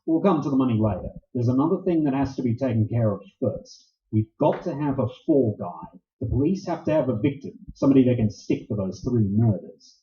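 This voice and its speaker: Casting: male actor as hard-boiled, wisecracking detective, clipped and sardonic, highly animated mid-range delivery, roomy stage sound. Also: fairly quick, few pauses, natural voice. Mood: hard, insistent. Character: tough, cocky, wisecracking male private detective. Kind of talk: staged radio play acting, 1940s noir. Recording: roomy, dull live stage recording.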